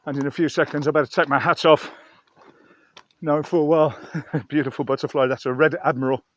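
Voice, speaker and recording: A man's voice: on the high side, steady tone, fairly quick, dry recording, dull audio. A man vlogging casually as he hikes outdoors, rambling and musing aloud in a chatty way. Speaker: vlogger reviewing gear outdoors. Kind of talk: casual narration to camera while hiking. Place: outdoors on a mountain trail.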